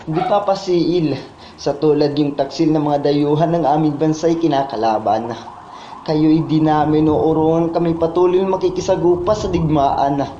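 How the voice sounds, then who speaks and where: A Filipino man performs spoken word poetry with solemn, declamatory fervor. His voice is soft-edged and mellow, pitched a little high for a man. The recording is noticeably echoey. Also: normal speed. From Philippines. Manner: solemn, declamatory, impassioned patriotic delivery. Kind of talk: dramatic Tagalog spoken word poetry recital. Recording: muffled, echoey, with background noise.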